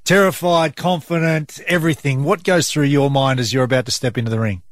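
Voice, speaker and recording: A man's voice: fairly high pitch, steady tone, brisk, no room sound, clean studio sound. A man with an Australian accent co-hosting a radio show in casual banter. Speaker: radio co-host.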